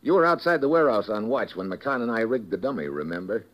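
An actor playing a wisecracking tough secret agent. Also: male voice, fairly low pitch, lively intonation, fast, dull audio, natural voice. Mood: smug. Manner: dry, wisecracking. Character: tough male American special agent, wisecracking. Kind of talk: 1950s radio drama acting and narration.

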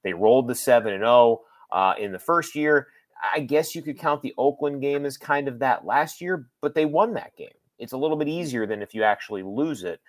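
A man's voice: mid-range voice, brisk, no room sound. A man working as a sports broadcaster, giving conversational analysis as a guest, explaining and qualifying his points with emphasis.

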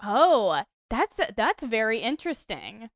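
A woman's voice: a little high, sing-song, slow, vocal fry. A woman co-hosting a podcast, joking and chiming in with playful remarks. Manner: playful, jokes and chimes in. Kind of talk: casual chat.

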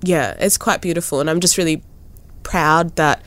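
A young woman in her twenties speaking in a relaxed, thoughtful way in an interview, often pausing with "like" and "you know". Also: deep voice, no echo. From born in Ethiopia, raised in Kenya and Australia. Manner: thoughtful, frequent "like" and "you know", lively rises. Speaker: interviewee, artist. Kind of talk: casual conversational interview.

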